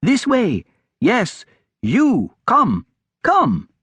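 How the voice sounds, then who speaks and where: Man playing a teenage street urchin, fantasy audiobook, raised pitch, excitable, flattering, cheeky, swooping intonation. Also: drawn-out words, husky, no echo, heavily acted. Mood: excited, calling out. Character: eager, cheeky adolescent street boy in fantasy tale. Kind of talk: audiobook character acting.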